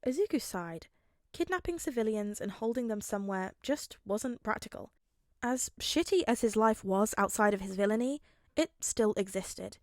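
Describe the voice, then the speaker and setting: A woman's voice: mid-range voice, lively intonation, normal speed, a little mellow, dry recording, studio quality. A woman reading scripted story narration in the style of an audiobook. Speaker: fan fiction narrator.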